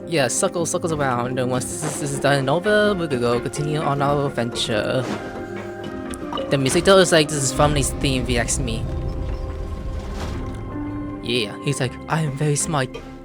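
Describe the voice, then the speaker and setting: A woman doing casual, excitable let's-play commentary, exclaiming and gushing as she talks to the characters and to herself. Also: a bass voice, normal speed. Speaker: gameplay streamer. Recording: game music behind the voice.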